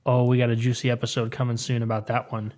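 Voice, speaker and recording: A young adult man co-hosts a comic book podcast in easy, casual chat. His voice sits at a middling pitch, and he keeps things upbeat and chatty, laughing often between points. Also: monotone, fast, a little mellow. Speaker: podcast co-host.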